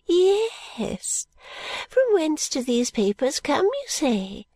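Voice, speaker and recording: A woman voicing a nervous, genteel, eccentric elderly lady who speaks slowly and stately and drops into whispers. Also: very animated, warm tone, exaggerated voice. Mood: fluttery. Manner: high, slow, stately and confiding, drops to whispers.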